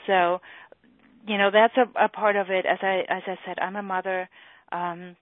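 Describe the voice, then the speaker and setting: Woman, German accent, middle-aged, earnest, halting, phone-line sound. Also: medium pitch, little pitch movement, dry recording. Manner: earnest, halting with many fillers. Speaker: EFT practitioner and trainer. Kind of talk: talk on a recorded group call. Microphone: phone or call line. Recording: tinny phone-line call recording.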